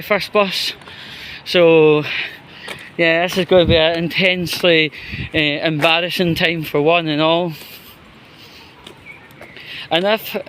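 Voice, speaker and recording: A Scottish man with a slightly high voice talks slowly in an off-the-cuff vlog. His delivery is hesitant and rambling, searching for words as he goes. A faint noise of vehicles sits behind him. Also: fairly bright tone. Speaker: vlogger. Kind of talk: unscripted solo vlog commentary.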